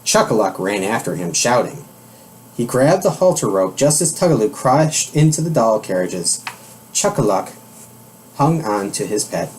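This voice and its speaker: Man reading a children's storybook, lively intonation. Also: fairly low pitch, normal speed, a little hiss or hum. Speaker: storybook reader. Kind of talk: children's storybook read-aloud.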